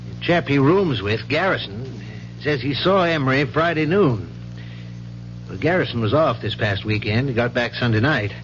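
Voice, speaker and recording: An actor playing a worried, polite professor in a radio drama, a man speaking in a halting, hesitant way. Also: medium pitch, animated, a bit bright, faint music behind, close to an everyday voice. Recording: dull, noisy vintage radio recording.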